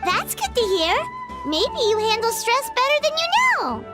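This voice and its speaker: Squeaky chirpy tiny fairy companion. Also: female voice, big pitch swings, moderate pace. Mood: cheerful, supportive. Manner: chirpy, supportive. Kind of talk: video game voice acting. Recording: loud game music behind.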